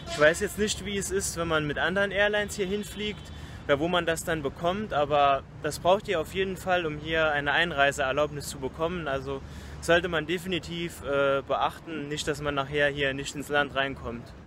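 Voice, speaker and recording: A man's voice: a little high, fairly even intonation, normal speed, pushed and bright. A young man from Germany talking casually to the camera as a travel vlogger, outdoors at arm's length from the mic. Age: young adult. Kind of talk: casual vlog talk to camera. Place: outdoors on a sunny waterfront promenade. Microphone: camera or phone at arm's length. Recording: noisy outdoor recording, city and traffic ambience.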